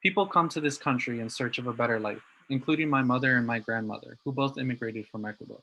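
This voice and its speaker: A young man from New Jersey giving a prepared talk in an earnest, steady way. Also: medium pitch, fast, highs cut off. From New Jersey, USA. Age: young adult. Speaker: student speaker.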